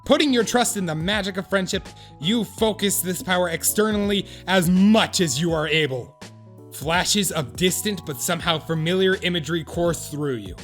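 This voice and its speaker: A man playing an earnest, excitable narrator of a comic fan drama, theatrical and mock-solemn in his delivery. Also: sits high, moderate pace. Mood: solemn, hushed awe. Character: earnest, excitable male narrator of an awkward would-be friend. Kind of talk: dramatic second-person scripted narration with comic profanity. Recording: music under the voice. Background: background music.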